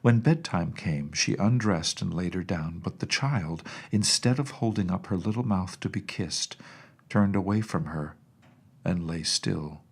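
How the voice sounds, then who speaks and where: A man reading a children's storybook aloud as an audiobook narrator, in an expressive scripted style. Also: low-pitched, measured pace, gravelly, husky.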